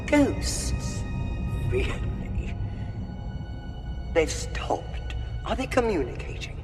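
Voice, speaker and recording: An actor playing a stern, impatient soldier leader in a sci-fi audio drama, commanding and curt, in a reverberant tunnel. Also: male voice, sits high, very animated, extremely slow, music in the background, heavily acted. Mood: hushed, puzzled.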